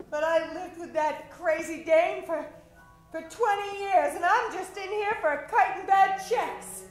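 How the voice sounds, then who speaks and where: A woman playing a jaded, easily frightened female convict in an old-time radio drama, with theatrical, hesitant delivery. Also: high-pitched. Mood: bitter, weary. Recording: echoey live stage.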